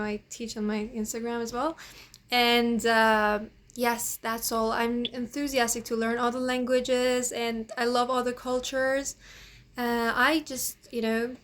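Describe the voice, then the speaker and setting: A sixteen-year-old girl from Iran with a Persian accent, chatting casually and haltingly, often saying "you know". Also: a little high, fairly bright tone. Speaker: host, language teacher. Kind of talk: casual chat.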